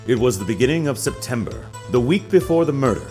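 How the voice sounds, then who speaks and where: An actor playing a smug, affected detective agency boss on the witness stand in a video game drama, pompous and flowery. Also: male voice, medium pitch, big pitch swings, fast talker, dark tone, faint music behind, lightly acted. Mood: self-assured. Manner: pompous, flowery, self-satisfied.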